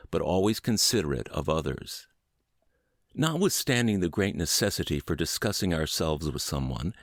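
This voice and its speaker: A man narrating a book word for word as scripted narration, with measured, deliberate phrasing. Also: low-pitched, no room sound, clean studio sound. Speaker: audiobook podcast narrator.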